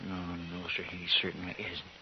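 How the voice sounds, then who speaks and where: An actor playing an earnest, folksy and deferential deputy in an old radio western. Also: male voice, sits low, monotone, moderate pace. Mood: concerned. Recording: dull, distant, hissy radio recording.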